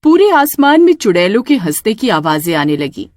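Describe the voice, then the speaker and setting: A woman's voice: mid-range voice, big pitch swings, normal speed, a little mellow, no echo. A woman narrating a scripted children's story as its storyteller.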